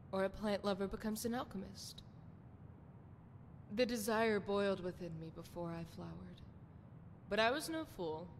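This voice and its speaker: A woman playing a seductive, flirtatious priestess of a love goddess in a video game, with sultry, poetic, theatrical phrasing. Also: lightly acted. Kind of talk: scripted video game character dialogue. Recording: long hall reverb, noisy rumbling background.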